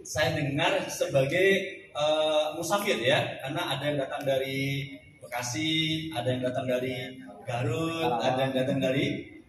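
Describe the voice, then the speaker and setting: A man's voice: medium pitch, fairly even intonation, measured pace. A man in his forties from Central Java, giving a jokey motivational seminar talk and leading the crowd in call-and-response. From Central Java, Indonesia. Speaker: seminar speaker, business coach. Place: large indoor hall. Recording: echoey hall recorded from far off.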